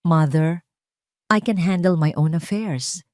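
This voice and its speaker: A woman's voice: lowish voice, takes it slowly, darkish tone, dry recording, clean studio sound. A woman voicing an arrogant male billionaire in an audio drama, blunt, clipped and coolly commanding.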